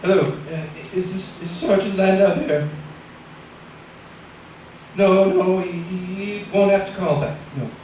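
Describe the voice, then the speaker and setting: An actor playing a desperate, guilt-ridden husband in an old-time radio drama, stammering and agitated, pleading and bullying by turns. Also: male voice, high-pitched, fairly even intonation, a little slow, pauses often, a little mellow. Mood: subdued. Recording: echoey distant old radio recording, hissy.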